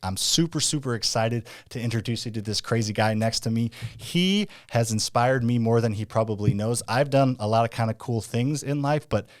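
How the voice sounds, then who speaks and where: A man hosting an interview, talking in an energetic, hyped-up way. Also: mid-range voice, normal speed, hardly any pauses. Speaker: interview host. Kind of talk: enthusiastic interview chat.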